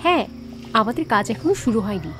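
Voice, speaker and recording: A young office receptionist in a cartoon, polite and businesslike, highish voice, bit of music behind. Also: female voice, very animated, brisk, a bit bright. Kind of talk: acted cartoon drama dialogue.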